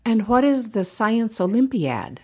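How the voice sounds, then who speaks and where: A woman conducting an interview with short, plain questions. Her voice sits a little high. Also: big pitch swings, measured pace, mellow.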